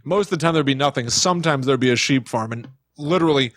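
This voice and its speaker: A man's voice: mid-range voice, quick pace, a bit bright, dry recording, clean studio sound. A man co-hosting a podcast, telling anecdotes in casual, informal conversation. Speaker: podcast co-host.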